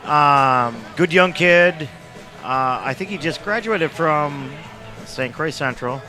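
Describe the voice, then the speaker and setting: A man giving baseball color commentary, hesitant and full of ums as he thinks aloud. His voice is bright and forceful and a touch high for a man. Faint music plays behind him. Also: slow. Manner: hesitant, filler-heavy analysis. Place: outdoor ballpark.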